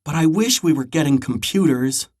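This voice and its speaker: A narrator acting out a young schoolgirl in a children's story. She is eager and outspoken, with very animated pitch and a husky edge. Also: a little fast. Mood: wistful.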